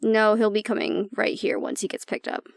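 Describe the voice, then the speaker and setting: A woman playing an anxious young woman with a tough front and a soft core, confiding casually and with swearing in an intimate audio roleplay. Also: mid-range voice, little pitch movement, normal speed, gravelly, no echo, studio quality, natural voice. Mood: bitter, resentful.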